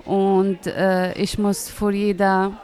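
A woman in her thirties from Syria, answering interview questions in simple, halting sentences with many pauses to find words. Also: medium pitch, completely flat delivery, a little mellow. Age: 30s. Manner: hesitant, searching for words, simple grammar. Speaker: interviewee, English teacher.

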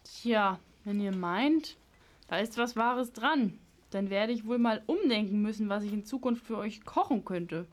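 A woman's voice: medium pitch, animated, measured pace, lightly acted. A female amateur playing a kindly, cautious grandmother in an audio drama. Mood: conceding, gentle. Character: kindly grandmother, cautious but open to persuasion.

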